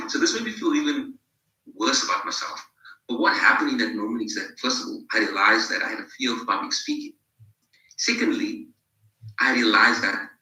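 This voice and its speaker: South African man, bright voice in an echoey room. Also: mid-range voice, far from the mic. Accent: South African English. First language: English, bilingual with Afrikaans. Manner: reflective, repeats and restarts phrases. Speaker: podcast guest. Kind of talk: personal storytelling in an interview.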